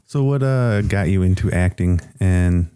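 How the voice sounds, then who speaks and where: Woman host, very deep voice, casual questions, dry close recording. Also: unhurried, dark tone, smooth, no room sound. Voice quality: very low voice. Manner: casual, asks short friendly questions. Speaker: podcast host. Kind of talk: casual interview chat.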